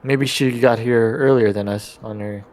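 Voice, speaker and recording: Male, mid-pitched, tentative tabletop player improvising dialogue, stop-and-start delivery. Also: steady tone, a little mellow. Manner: hesitant, halting delivery with trailing phrases. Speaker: player in a tabletop role-playing game.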